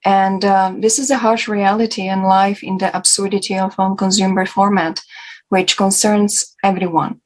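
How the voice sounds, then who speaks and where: A woman presenting as a host, formal and somewhat halting in her delivery. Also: mid-range voice, level tone. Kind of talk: semi-prepared presenting.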